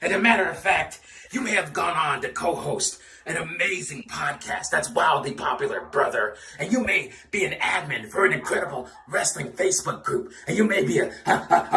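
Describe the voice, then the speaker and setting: A man's voice: sits high, gravelly, a bit bright, far from the mic, a little hiss or hum. A man cutting a parody wrestling promo, all bluster and bravado, tacking "brother" and "dude" onto his lines. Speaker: fan wrestling promo performer. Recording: roomy echo, off-mic.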